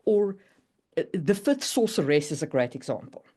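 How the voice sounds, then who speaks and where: A woman chatting casually and opinionatedly, in an animated way, as on a writing podcast. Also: low-pitched, normal speed. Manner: animated, opinionated, laughs readily. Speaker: podcast guest discussing writing. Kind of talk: casual chat.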